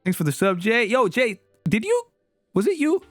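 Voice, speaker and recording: A man's voice: very high-pitched, normal speed, no room sound, faint music behind. A young man whose first language is Spanish, chatting casually and playfully with his live-stream chat. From Latin America. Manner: animated, playful, teasing with chat.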